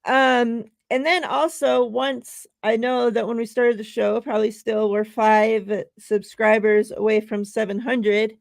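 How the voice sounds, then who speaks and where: A woman's voice: a little high, vocal fry, no echo. A woman hosting a casual live-stream chat, laughing often and reading out giveaway numbers. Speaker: show host.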